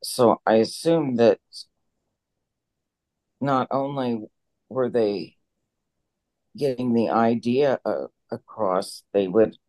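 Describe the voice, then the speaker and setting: Slow-reading man on a video call. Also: fairly low pitch, a little mellow, rough recording. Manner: measured reading, then plain musing. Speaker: participant reading scripture. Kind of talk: reading aloud in a study group.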